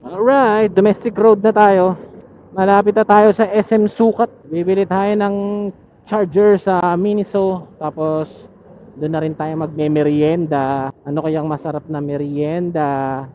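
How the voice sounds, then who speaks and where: A man's voice: sits high, fairly even intonation, a little slow. A man with a Manila Filipino accent chatting casually and informally to his viewers as a motorcycle vlogger. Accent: Filipino, Manila area. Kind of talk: casual vlog chat to viewers. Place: outdoors on a motorcycle in city streets. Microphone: helmet intercom linked to action camera. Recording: muffled helmet intercom mic, traffic noise. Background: faint vehicle and street noise.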